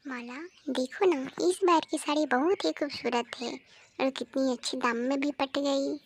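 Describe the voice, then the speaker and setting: A woman playing a polite young married village woman in an animated moral story, with an earnest, coaxing delivery. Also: high-pitched, measured pace, slight background noise. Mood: sly, friendly.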